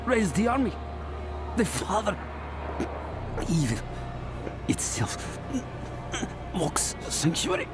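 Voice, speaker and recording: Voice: medium pitch, big pitch swings, slow, pauses often, over music. An actor playing a dying warrior giving urgent last orders in a video game, gasping for breath. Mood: desperate. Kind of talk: dramatic video game acting.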